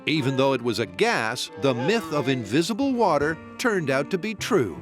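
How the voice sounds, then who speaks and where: Lively punning male documentary narrator. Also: fairly high pitch, normal speed. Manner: playful, punning delivery. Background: music.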